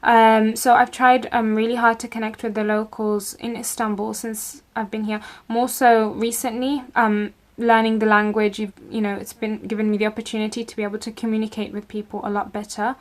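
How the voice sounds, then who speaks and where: A young woman, recording a casual video account at home, talking in a measured way with frequent ums. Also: fairly high pitch, flat delivery. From England. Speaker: contributor recording a video report. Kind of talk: casual first-person account. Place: home room with bookshelves.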